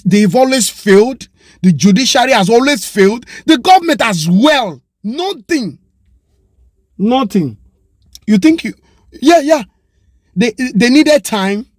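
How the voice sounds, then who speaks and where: A man's voice: high voice, dry recording, clean studio sound. A Nigerian man with a Pidgin English accent giving a passionate commentary, firing off rhetorical questions and slipping into Yoruba. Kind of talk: opinion commentary monologue.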